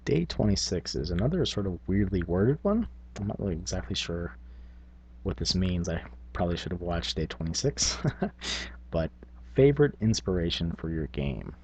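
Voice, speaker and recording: A man with a very low voice hosts a hobby gaming video. His tone is slightly rough and husky, and he talks in a loose, casual, thinking-aloud way. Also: sing-song, normal speed, darkish tone. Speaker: tabletop gaming video host. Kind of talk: casual rambling talk.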